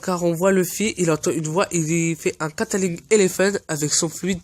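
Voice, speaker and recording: Brief: high-pitched man with a cold, hesitant fan commentary, dry close sound. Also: fairly even intonation, normal speed, dry recording. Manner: earnest and hesitant, often searching for words. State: stuffy from a cold. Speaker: anime fan channel host.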